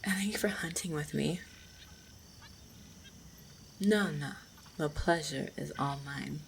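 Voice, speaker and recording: Casting: woman as a gentle orc huntress and devoted fiancée for fantasy roleplay audio, low-pitched with gravelly fry, soft and reassuring. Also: slow, a little hiss or hum, heavily acted. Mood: fond, cheerful. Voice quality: husky, creaky low voice. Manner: tender, reassuring, softly spoken. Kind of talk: roleplay audio drama, intimate monologue to the listener.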